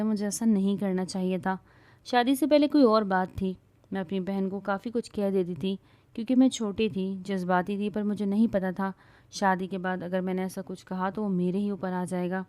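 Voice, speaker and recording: A woman's voice: medium pitch, fairly even intonation, darkish tone, lightly acted. Woman doing a tearful pleading young wife.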